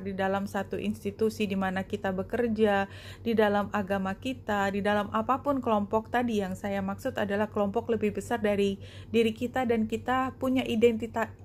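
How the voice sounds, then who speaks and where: A woman giving a spoken card reading to viewers, explaining reflectively in short phrases with pauses. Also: mid-range voice, a little hiss or hum. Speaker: tarot and oracle card reader.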